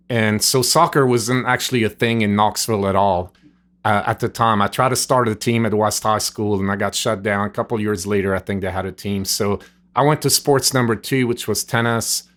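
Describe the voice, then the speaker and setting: A low-voiced middle-aged man with a French-speaking Swiss accent recounts his past as a podcast guest. His English is earnest and rambling, full of "like" and "you know". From Switzerland. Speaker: guest, nutrition store owner. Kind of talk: storytelling interview answers. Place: gym.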